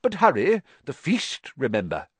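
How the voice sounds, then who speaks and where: A man voicing a calm, wise elderly headmaster who speaks in a measured way. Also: medium pitch, husky, dry recording.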